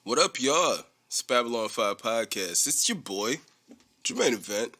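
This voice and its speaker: A young man hosting a solo podcast, chatting casually in a rambling, profane, animated way. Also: medium pitch, measured pace, soft background music. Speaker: podcast host.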